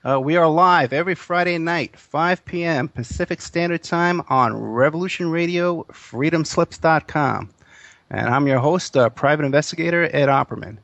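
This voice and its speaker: A man in his fifties hosts a live talk radio show, chatty and loose, stumbling through plugs with plenty of fillers. His voice sits slightly high and sounds close and dry, with no room around it. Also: normal speed, vocal fry, a bit bright, dry recording, highs cut off. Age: 50s. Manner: casual, rambling, many fillers. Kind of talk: live internet radio interview.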